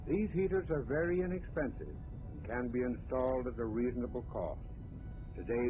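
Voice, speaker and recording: A man speaking as a sponsor's spokesman, reading a commercial in an even, earnest way. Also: medium pitch, background noise. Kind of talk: read commercial. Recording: dull old radio broadcast.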